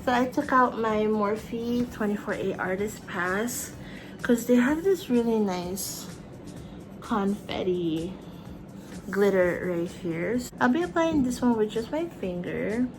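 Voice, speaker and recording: A woman's voice: mid-range voice, expressive, takes it slowly, music in the background, rough recording. A young woman with a Filipino accent, chatting through a makeup tutorial in her bedroom, bubbly and talking as she applies makeup. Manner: chatty, bubbly, talks while applying makeup. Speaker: makeup vlogger. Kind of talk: casual makeup tutorial narration. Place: small bedroom with fairy lights.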